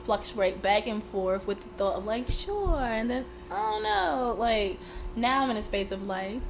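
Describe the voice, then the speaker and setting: A woman's voice: on the high side, sing-song, measured pace, gravelly, a little hiss or hum, poor audio. A woman hosting a podcast interview. She is under the weather and talks in a casual, friendly way with plenty of fillers. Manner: friendly and chatty, with frequent fillers. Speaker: podcast host.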